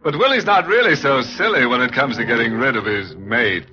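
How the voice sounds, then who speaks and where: An actor playing a ghoulish, darkly joking horror host, narrating theatrically in 1940s radio style. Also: male voice, medium pitch, lively intonation, lightly acted. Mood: darkly amused. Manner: slow, theatrical, punning. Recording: muffled vintage radio, music behind.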